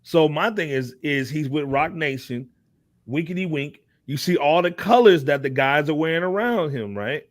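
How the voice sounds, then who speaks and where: Dry-sounding, higher-pitched man talking hip hop. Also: normal speed, dry recording. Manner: animated slangy talk with repeated check-in phrases. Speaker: talk show panelist. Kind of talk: casual hip hop commentary.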